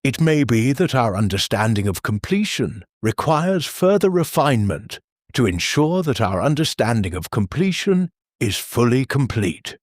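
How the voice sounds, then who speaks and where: A man playing a husky, earnest church chairman. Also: medium pitch, no echo, clean studio sound. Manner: measured, bureaucratic deadpan. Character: earnest, formal male church meeting chairman. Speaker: leader of a church meeting. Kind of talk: audio drama acting.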